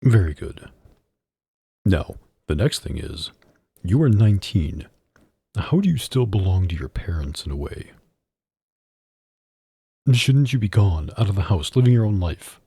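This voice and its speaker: A man playing a domineering, sardonic mafia boss in an intimate ASMR roleplay, deliberate and commanding, deep and husky, close on a studio microphone. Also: very animated, warm tone, dry recording, exaggerated voice. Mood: stern, commanding.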